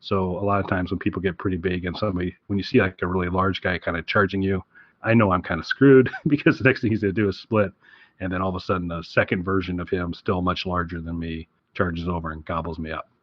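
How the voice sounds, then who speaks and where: A man with a fairly low voice talks casually as a podcast co-host. He rambles along with easy enthusiasm. The sound is very dry and a little lo-fi. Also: fairly even intonation, quick pace, dry recording. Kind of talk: casual game recommendation chat.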